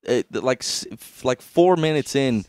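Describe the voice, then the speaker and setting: A man's voice: medium pitch, lively intonation, measured pace, a little mellow, clean tone, no echo. A young man in casual comedic podcast banter, talking crudely in slang into a close microphone. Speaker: podcast co-host.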